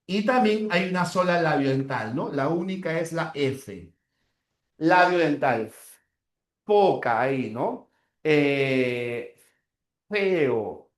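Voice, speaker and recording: A man with a Peruvian accent lecturing a class, repeating key terms and checking in with short tag questions. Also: on the high side. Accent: Peruvian Spanish. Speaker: teacher. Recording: roomy echo.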